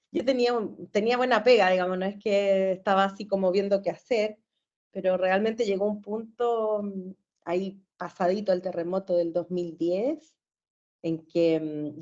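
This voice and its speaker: A Chilean woman in her forties chats as a guest with a bright, easy cheerfulness, often breaking into laughter. Her talk wanders with fillers and restarts. The sound is dull and lo-fi, as over a video call. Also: medium pitch. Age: 40s. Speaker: guest, therapist. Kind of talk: casual conversation.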